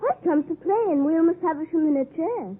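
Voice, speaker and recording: A woman's voice: very high-pitched, lively intonation, fairly quick. A woman playing a polite, timid, honest young village boy with a meek, earnest manner in a 1950s radio drama. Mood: reluctant, polite. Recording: muffled, faintly noisy 1950s radio recording.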